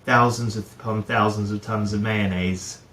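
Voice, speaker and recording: A man's voice: on the low side, steady tone, a little slow, fairly bright tone, echoey room, slight background noise. A middle-aged man from New Zealand, a guest talking casually about his career, with many fillers and restarts. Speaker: guest, head of procurement. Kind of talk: casual interview chat.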